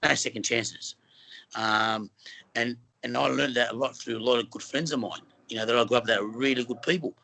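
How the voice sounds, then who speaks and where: Earnest Australian man, bright voice. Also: mid-range voice, fairly quick, gravelly, poor audio. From Western Sydney, Australia. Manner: earnest, rambling, many fillers and restarts. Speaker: film actor and guest. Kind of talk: casual interview conversation.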